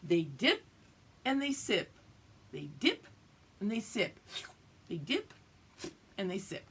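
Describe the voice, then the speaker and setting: A woman's voice: medium pitch, very animated, slow pace, slight background noise. An older woman teaching young children, explaining things simply and checking that they follow along. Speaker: kindergarten or preschool teacher. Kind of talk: read-aloud lesson for young children.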